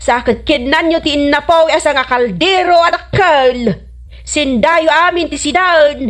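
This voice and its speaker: A woman's voice: high-pitched, measured pace. Woman playing a scolding older neighbour woman. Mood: scolding, exasperated. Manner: scolding, grumbling. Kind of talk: comedy radio drama acting.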